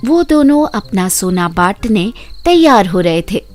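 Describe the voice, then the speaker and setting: A woman's voice: medium pitch, very animated, a little slow, darkish tone, faint music behind. A woman narrating a scripted children's story as a storyteller.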